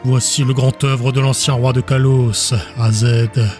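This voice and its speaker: A man performs a suave fantasy villain for an audiobook. He is formal and courteous, yet smug and grandly ambitious, in a mid-pitched voice with music clearly behind him. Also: flat delivery, slow pace, a little mellow, natural voice.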